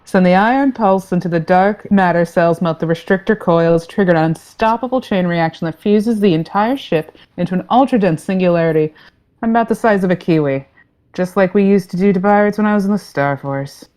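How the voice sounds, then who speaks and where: Woman acting a mellow, breezy trigger-happy starship captain. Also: fairly low pitch, brisk, close to an everyday voice. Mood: deadpan. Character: breezy, trigger-happy female spaceship captain, drily sardonic. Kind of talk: comedic sci-fi play acting.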